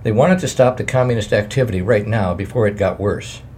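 A man reading a wartime memoir aloud in a plain, measured way, adding his own editor's notes. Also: fairly low pitch, a little mellow, a little hiss or hum.

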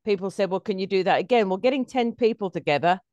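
A woman's voice: medium pitch, animated, fast, a little mellow, no room sound, muffled recording. A middle-aged woman, a stage performer telling anecdotes as an interview guest.

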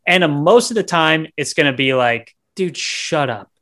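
A man's voice: on the high side, expressive, normal speed, bright, forceful tone. A man co-hosting a podcast, chatting casually about a film in a blunt, slangy way. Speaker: podcast co-host. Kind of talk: casual movie discussion.

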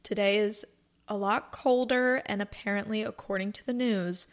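A woman's voice: medium pitch, fairly even intonation, normal speed. A woman in her twenties hosting a casual solo podcast, chatting in a rambling, upbeat way from a cozy room. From North Carolina, US. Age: 20s. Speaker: podcast host.

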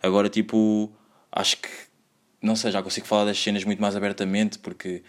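A young man in his twenties with a Lisbon Portuguese accent hosts a solo podcast. His voice sits a bit low, and he talks in a loose, meandering way, piling up slang and filler words as he goes. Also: level tone, brisk. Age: 20s. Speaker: podcast host. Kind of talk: solo casual podcast monologue.

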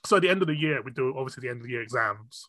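A man, hosting a podcast and telling stories in a casual, animated way. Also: mid-range voice, rapid-fire, no room sound. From United Kingdom. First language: Cantonese. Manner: animated storyteller, frequent restarts and laughs. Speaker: podcast host. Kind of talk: casual conversational interview.

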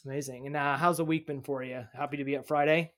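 Podcast host man with a slightly high voice. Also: steady tone, fast talker, fairly bright tone. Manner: reads a scripted intro, then chats with restarts and fillers. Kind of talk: podcast interview.

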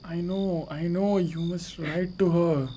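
A man's voice: sits high, fairly even intonation, measured pace, dark tone, a little hiss or hum. A young man playing a loving but exasperated, practical husband in an amateur radio drama, earnest and plain-spoken. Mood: reassuring. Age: young adult.

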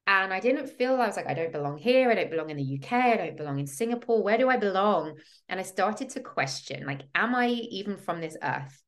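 A woman's voice: mid-range voice, animated, fairly quick. A woman in her thirties from the UK, hosting a podcast and telling her personal story in an earnest, reflective way. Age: 30s. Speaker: podcast host, women's coach.

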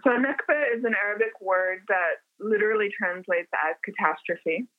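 A woman born in the United States explaining history in a measured lecture style, with occasional fillers. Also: medium pitch, dry recording. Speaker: interviewed scholar. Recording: tinny phone-like line, faint music.

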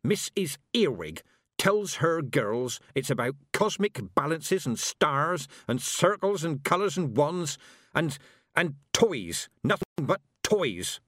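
A man's voice: a little high, animated, moderate pace, a bit bright, no room sound, clean studio sound, voice pushed up. A man voicing a stern, blunt, proud old country witch woman with emphatic rustic speech, in audiobook style. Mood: emphatic, scornful. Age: older. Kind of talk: audiobook character acting.

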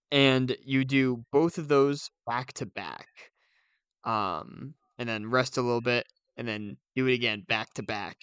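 A man's voice: mid-range voice, a bit bright, clear, resonant tone, no echo. A man co-hosting a casual podcast, explaining things with enthusiasm. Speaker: podcast co-host.

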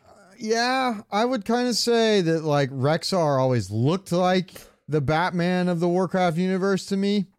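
Smooth-voiced man chatting on a podcast. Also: high voice, animated, unhurried, no echo, studio quality. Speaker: podcast co-host. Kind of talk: casual gaming podcast chat.

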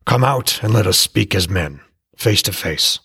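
A proud, fearless old war god, voiced by a man, haughty and sneering. His voice is deep with a gravelly edge and a husky grain, recorded close and dry. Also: lively intonation, unhurried, bright, forceful tone, no room sound, heavily acted. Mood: scornful. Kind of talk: audiobook character voice.

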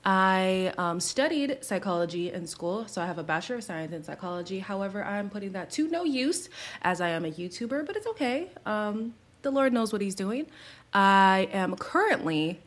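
A woman's voice: mid-range voice, animated, moderate pace. A woman in her mid-twenties, from Zimbabwe and Canada, co-hosting a podcast in a casual, jokey, self-deprecating and exclamatory way. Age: mid-20s. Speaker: podcast co-host. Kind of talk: casual chat.

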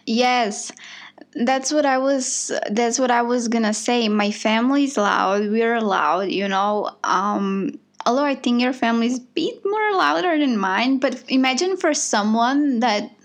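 Chatty woman with a slightly high voice. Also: lively intonation, measured pace. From Bosnia. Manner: chatty, lots of "like" and "you know". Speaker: podcast co-host. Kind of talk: casual conversation.

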